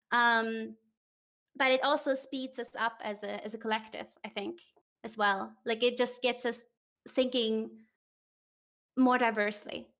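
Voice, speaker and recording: High-voiced Austrian woman chatting casually. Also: fairly bright tone. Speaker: company communication manager, livestream participant. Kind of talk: casual chat.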